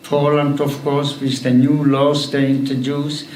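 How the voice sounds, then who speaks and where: A man, speaking to an audience in a halting way with many pauses. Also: mid-range voice, level tone, darkish tone, slight background noise. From Slovakia. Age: 80s. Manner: halting, with frequent pauses and fillers. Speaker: guest speaker. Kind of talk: public talk answering questions. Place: public event room. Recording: echoey hall from far off.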